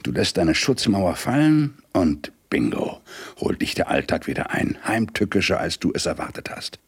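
An actor playing a hard-boiled, shaken New York police detective in a radio crime drama, weary and angry, in terse, measured phrases. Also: male voice, sing-song, dry recording, studio quality, full character voice. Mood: brooding, nostalgic. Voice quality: deep, gravelly. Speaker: actor, narrator and lead. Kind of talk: radio crime drama, intimate first-person monologue and dialogue.

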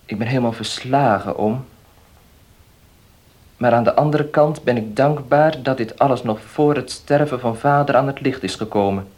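An actor playing an earnest, dutiful nephew in a radio play, speaking formally and solemnly, sounding defeated. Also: male voice, mid-range voice, normal speed, darkish tone, natural voice.

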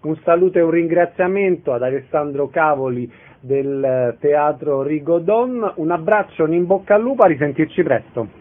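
A man's voice: fairly high pitch, moderate pace, few pauses, narrow, tinny audio. A man presenting and asking questions as the host of a radio interview.

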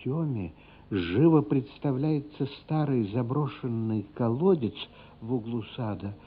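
An actor as a grave storyteller, narrating a radio drama in a measured, dramatic way with deliberate pauses. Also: male voice, fairly high pitch. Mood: tense, grave. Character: grave male storyteller of a childhood tale. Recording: muffled narrow radio sound, music behind.

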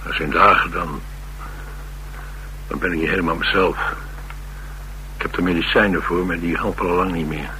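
An actor with a Netherlands Dutch accent playing a troubled, blunt ex-soldier and restless drifter in a radio drama, wry and halting in delivery. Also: male voice, low-pitched, fairly even intonation, pushed and bright, low-quality recording. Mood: troubled, uneasy. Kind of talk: radio drama dialogue acting. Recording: radio drama with clear hiss and hum.

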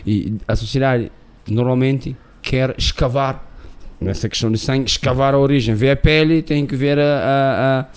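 A man's voice: medium pitch, moderate pace, few pauses, clean tone. Emphatic man, a guest from Cape Verde. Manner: emphatic, repeats words while searching for them. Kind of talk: interview conversation.

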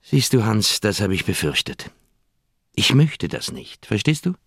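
Deep, uneasy old doctor. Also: male voice, big pitch swings, unhurried, fairly bright tone, slightly rough, no room sound, studio quality, full character voice. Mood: reluctant, pleading. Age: older. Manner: hesitant, pleading, troubled. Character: uneasy, evasive, well-meaning old male doctor. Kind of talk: German radio crime drama acting.

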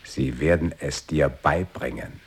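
A man's voice: rumbling low, a little slow, slightly rough, full character voice. An actor playing a terse, insistent man in an avant-garde radio play, speaking in short, repeated phrases. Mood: flat, insistent. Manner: short clipped lines, repetition.

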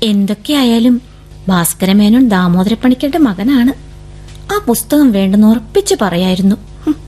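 An actress in a radio drama playing a gentle, earnest young woman who is an eldest sister. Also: medium pitch, lively intonation, moderate pace, lightly acted. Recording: radio drama with faint music.

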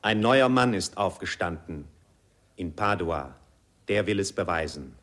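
An actor playing a solemn narrator in a 1940s German radio play. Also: male voice, sits low, animated, measured pace, a bit bright.

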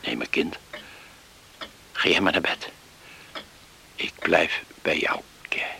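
Gruff, proud farmer, radio drama: very low, slow, halting and terse. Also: male voice, sharp tone, in a whisper, full character voice. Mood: weary, resigned. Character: stubborn, embittered farmer father, gruff and proud. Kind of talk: Dutch radio play acting.

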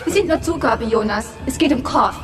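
A woman's voice: a little high, animated, a little fast, close to an everyday voice. A woman playing a cool, ruthless party agent, polite and coldly mocking, in a sci-fi radio crime drama. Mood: coldly decisive. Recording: echoing cavern reverb, music behind.

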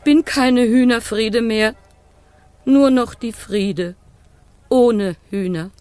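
A woman's voice: on the high side, slow pace, darkish tone, clean tone, no echo. An actress playing a destitute hen keeper in a children's radio play, mournful and plain in her lament. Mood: sorrowful. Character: destitute female hen keeper mourning all she lost.

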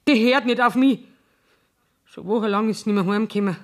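A woman's voice: fairly high pitch, brisk, close to an everyday voice. An actress playing a worried, complaining Bavarian woman, a wary informant, in a German radio play.

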